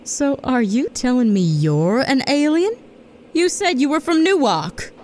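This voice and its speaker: A woman's voice: sits high, very animated, darkish tone, exaggerated voice. Sardonic space-mission leader, played by a woman. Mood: wary, indignant. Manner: sardonic, brisk. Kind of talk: audio drama acting. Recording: noisy background ambience.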